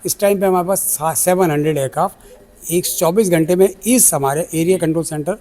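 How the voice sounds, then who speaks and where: A man's voice: on the high side, a little fast, darkish tone. A man with a Pakistani accent answering interview questions as an aviation expert, explaining step by step and mixing in English technical terms. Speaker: air traffic control chief, aviation expert. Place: air traffic control centre.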